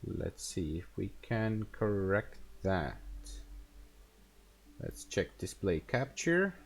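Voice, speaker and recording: A young man with an Estonian accent, casually talking through a game stream in a halting way, full of fillers and restarts. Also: low-pitched, very animated, vocal fry. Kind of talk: casual gameplay stream commentary.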